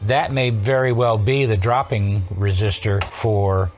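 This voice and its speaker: A man's voice: on the low side, fairly even intonation, unhurried, faint music behind. A man chatting casually as a repair technician, thinking aloud, asking questions and teasing dryly while he works. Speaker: vintage audio repair technician. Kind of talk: casual hands-on repair chat, bantering.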